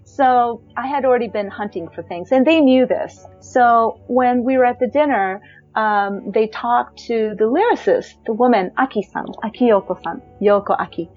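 High-voiced woman, animated personal storyteller, slightly dull recording with soft music behind. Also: moderate pace. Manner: animated, acts out quotes with pitch jumps, frequent fillers. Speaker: storyteller sharing her life story.

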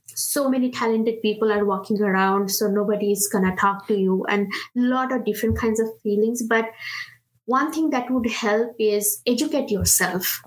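A woman's voice: on the high side, low-quality recording. Indian woman, 30s, product manager giving encouraging advice, conversational, lots of restarts. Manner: earnest, encouraging, many fillers and restarts. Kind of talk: casual interview talk.

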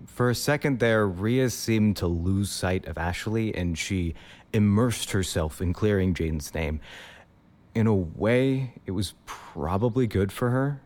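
A man playing a young person looking back on a road trip in halting, reflective audio drama narration. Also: lowish voice, darkish tone. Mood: hesitant.

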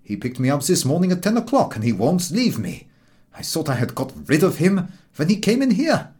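Guy reading as a fed up tired traveller bloke, moaning with scorn, pitch all over the place, audiobook. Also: big pitch swings, moderate pace, darkish tone. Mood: aggrieved. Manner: aggrieved, contemptuous complaining. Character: exasperated weary traveller saddled with a stray dog. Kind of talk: audiobook dialogue.

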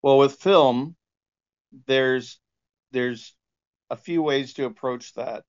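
A man speaking as a composer and sound engineer, explaining his approach in a halting, informal interview manner. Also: medium pitch, clear, resonant tone. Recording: dull lo-fi audio.

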